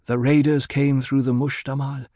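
A man's voice: mid-range voice, flat delivery, normal speed, dark tone, no room sound, studio quality, natural voice. A man voicing a grave, commanding mother and priestess in an audiobook, with formal phrasing. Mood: sorrowful.

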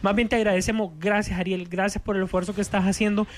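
A man's voice: high-pitched, little pitch movement, fast, slight background noise. A man with a Honduran accent hosting a film radio show in a casual, chatty way, often repeating words as he goes. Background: background music.

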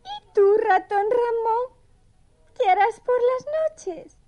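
A woman's voice: extremely high pitch, slow, warm tone, muffled recording. A woman voicing a dainty, coquettish young female mouse with a prim, sweetly affected manner in a children's audio story. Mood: coy, sweet.

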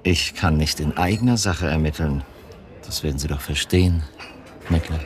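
An actor playing a manipulative, domineering police chief in a German radio drama, speaking in an insistent, measured and cutting way. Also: male voice, rumbling low. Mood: cold, insistent. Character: cold, coercive male police chief, manipulative.

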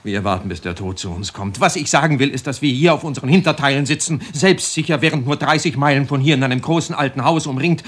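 A man's voice: mid-range voice, fast, hardly any pauses, fairly bright tone, close to an everyday voice. Brooding, distracted husband in a radio drama, acted by a man. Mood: brooding, insistent. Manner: hesitant, brooding, repeating himself. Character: troubled, distracted husband, brooding and evasive at a card evening. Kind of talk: radio drama acting in dialogue.

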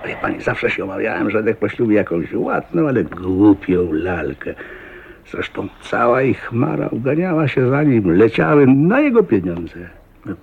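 An actor in a radio drama playing a talkative, blunt, jovial old self-made millionaire with a husky voice and a folksy manner. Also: male voice, lowish voice, very animated, normal speed, a little hiss or hum. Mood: surprised, frank. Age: older. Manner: garrulous, folksy, blunt, with chuckles and exclamations. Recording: muffled thin narrow-band radio recording.

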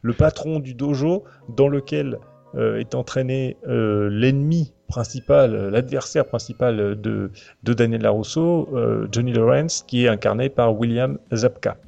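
Man, creaky voice, podcast host, hesitant delivery, muffled recording, background music. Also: medium pitch, a little slow, warm tone. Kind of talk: semi-prepared film discussion. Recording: dull lo-fi audio, music behind. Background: clear background music.

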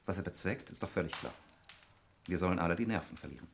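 A deep-voiced, suspicious lawyer argues his points with testy precision. The style is 1960s German radio drama, with faint noise on the old recording. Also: fast talker, creaky. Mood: suspicious, scornful. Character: irritable, suspicious male lawyer, adopted brother in murder mystery. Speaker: radio play actor.

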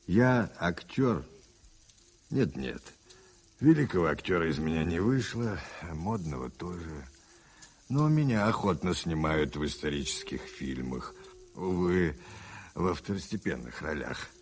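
An actor playing a worldly, self-mocking adventurer in a radio play, theatrical and teasing, with a husky voice. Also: male voice, deep voice, lively intonation, unhurried, a little mellow, slight background noise. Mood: amused, self-mocking. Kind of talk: 1980s radio play acting.

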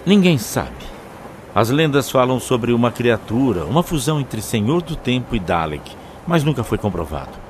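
A man's voice: mid-range voice, very animated, moderate pace, close to an everyday voice. A man with a Brazilian accent playing a learned alien Time Lord who explains ancient lore like a storyteller in an audio drama. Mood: explanatory. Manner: expository, storyteller-like. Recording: faint background noise.

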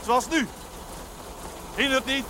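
An actor playing a blunt, brisk car tinkerer in a naturalistic 1970s TV drama. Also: male voice, very high-pitched. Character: blunt, brisk man who tinkers with cars. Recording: noisy soundtrack with car engine.

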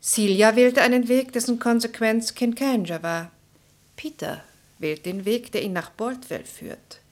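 A woman's voice: a little high, unhurried, natural voice. An actress playing a wise, decisive society lady in a radio play, with a composed, formal and declarative delivery. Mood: resolute. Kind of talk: German radio play acting.

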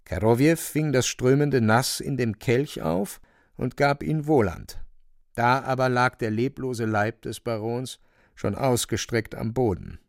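A grave storyteller narrates a dark fantastic tale for radio. His mid-pitched voice has a gravelly creak and sits dry in the ear, watchful and literary. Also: a little slow, no room sound. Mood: grave tension.

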